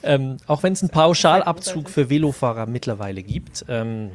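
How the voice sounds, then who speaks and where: A man's voice: mid-range voice, lively intonation, normal speed. A man who writes audio dramas, chatting casually on a podcast panel. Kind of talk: casual group chat.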